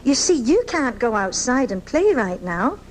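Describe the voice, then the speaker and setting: A woman's voice: a little high, very animated, unhurried, a little hiss or hum, rough recording. A woman playing a kind, encouraging young schoolteacher in a 1980s live radio drama, recorded off the air at home. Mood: playful.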